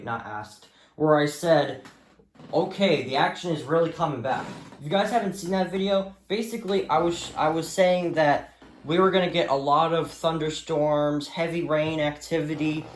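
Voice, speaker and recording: A man's voice: a little high, slight background noise. Rambling, stammering man vlogging. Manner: rambling, stammering, stretches words for emphasis. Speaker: hobbyist video creator showing a radio collection. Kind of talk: casual unscripted vlog rant.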